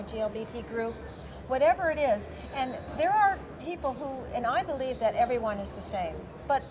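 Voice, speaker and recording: Chatty New woman, expo organizer. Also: fairly high pitch. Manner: talkative, many fillers, gestures while talking. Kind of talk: interview answers. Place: large convention center hall, indoors. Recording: busy convention hall crowd noise. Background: crowd chatter.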